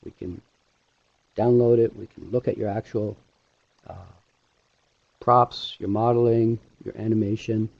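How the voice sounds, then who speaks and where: A middle-aged man giving an informal online presentation as an instructor, speaking haltingly with pauses mid-sentence through a headset mic. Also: lowish voice, little pitch movement, gravelly, no room sound. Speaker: animation instructor hosting a webinar. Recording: dull, lo-fi webinar audio.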